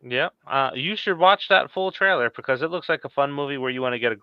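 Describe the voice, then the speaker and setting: A man's voice: mid-range voice, fast, clear, resonant tone, no room sound, dull audio. A man hosting a casual, informal podcast chat about horror movies in a conversational way. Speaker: podcast host.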